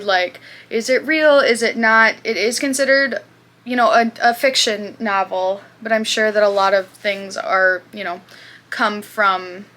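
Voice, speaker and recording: A young woman talks casually to her channel's viewers. Her voice is bright and sits at a middling pitch. She rambles a little, with fillers and asides as she goes. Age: 20s. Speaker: booktube host. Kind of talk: casual chat.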